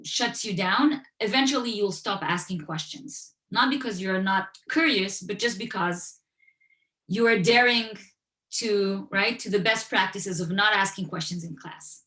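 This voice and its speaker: A woman speaking over a video call from a room with a noticeable echo. Her pitch sits in the middle for a woman. She talks in a conversational way and builds her points through stories and everyday examples. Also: measured pace, sharp tone. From Western Europe. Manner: storytelling, explains with everyday examples. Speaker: author and guest speaker. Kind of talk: conversational talk at an online event. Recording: echoey room.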